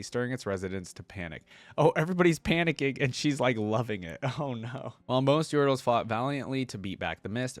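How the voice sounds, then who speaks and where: Male reaction host, lowish voice, dry close sound, informal asides between read passages. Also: no echo. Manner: informal, thinks aloud with frequent asides. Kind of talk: casual reaction commentary mixed with reading aloud.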